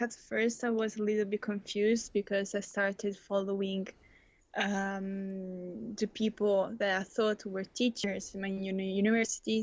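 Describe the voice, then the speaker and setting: A woman's voice: mid-range voice, dry recording. A woman in her twenties from Italy answering interview questions over a video call. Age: 20s. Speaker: student guest. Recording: muffled video call audio.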